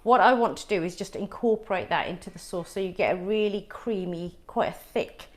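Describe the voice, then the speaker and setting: A middle-aged woman whose first language is Punjabi, teaching a live cooking class. She talks viewers through each step in a friendly, chatty way at a mid pitch. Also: fairly quick.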